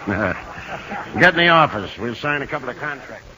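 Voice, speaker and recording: An actor playing a curt, bossy, dryly teasing baseball manager in a 1950s radio drama. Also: male voice, mid-range voice, lively intonation, unhurried, lightly acted. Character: brusque, teasing male baseball team manager. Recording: noisy old radio recording.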